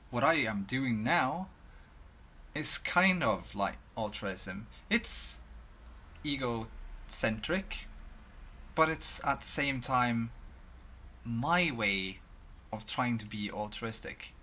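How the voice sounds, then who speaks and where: Slow, halting Swedish-accented man on a headset. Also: mid-range voice, lively intonation, bright, forceful tone, a little hiss or hum. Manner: halting, many restarts and fillers, thinking aloud. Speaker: vlogger. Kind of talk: reflective monologue to camera. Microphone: headset, webcam framing.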